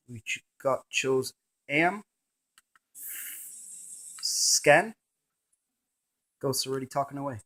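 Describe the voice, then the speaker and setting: A man presenting a casual hands-on gadget demonstration, narrating each step in short fragments. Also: mid-range voice.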